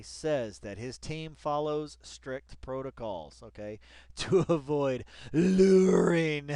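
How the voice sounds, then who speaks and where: Male online host, mid-pitched, for loose article commentary; colloquial and emphatic, doubles up on phrases, dry recording. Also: creaky, a little mellow, dry recording. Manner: slangy, colloquial, addressing absent people directly; emphatic, repeats phrases for effect. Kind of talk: casual read-along commentary on a web article.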